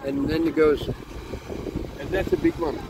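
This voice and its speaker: A soft-spoken man from Denmark with a slightly raised pitch talks in a relaxed, unhurried way. Loud wind and outdoor noise batter the mic while he points out boundaries. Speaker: lot owner. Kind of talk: casual chat. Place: outdoors, windy.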